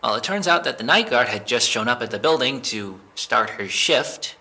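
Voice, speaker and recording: A man playing an evasive, jittery young man being questioned by police, halting and defensive, in a naturalistic audio drama. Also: medium pitch, expressive, pushed and bright. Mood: nervous explaining.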